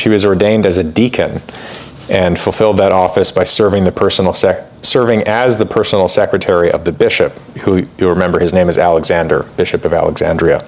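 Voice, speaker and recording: A man teaching church history in an informal lecture, talking conversationally with fillers and asides, and reading quoted passages with more emphasis. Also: low-pitched. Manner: reads quotations aloud with more emphasis.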